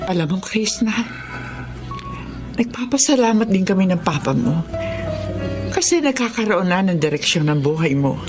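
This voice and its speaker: An actress playing a gentle, supportive older mother in a Filipino radio drama, speaking soothingly. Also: medium pitch, expressive, moderate pace, long pauses, music in the background, close to an everyday voice. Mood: caring, grateful. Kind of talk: Tagalog radio drama acting.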